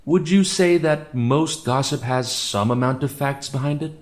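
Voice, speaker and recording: A man's voice: medium pitch, measured pace, lightly acted. Man playing a formal, probing courtroom lawyer. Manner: formal, insistent questioning. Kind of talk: scripted audio drama acting.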